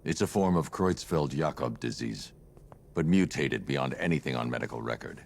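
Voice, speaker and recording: An actor playing a grim, terse masked vigilante in a video game, with a gravelly voice and grave delivery. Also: male voice, rumbling low, expressive, normal speed, darkish tone, full character voice. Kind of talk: cinematic video game acting.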